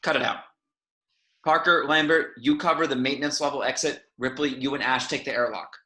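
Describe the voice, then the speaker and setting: A man's voice: medium pitch, fairly even intonation, fairly quick, bright, forceful tone, close to an everyday voice. A man playing a decisive spaceship captain in an amateur table read of a sci-fi script, recorded in a roomy space with echo. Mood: commanding. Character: decisive male spaceship captain giving orders.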